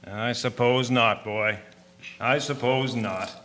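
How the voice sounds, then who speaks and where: A man playing a gruff, impatient nineteenth-century sea captain in a radio drama. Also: medium pitch, steady tone, slow pace, sharp tone. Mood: resigned. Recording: roomy, faintly noisy drama recording.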